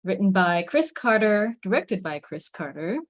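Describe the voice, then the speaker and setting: A woman with a medium-pitched voice takes part in an online table read as the reader of action descriptions. Her delivery is that of script narration and plain introductions, picked up over a video call. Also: animated, a little slow. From United States.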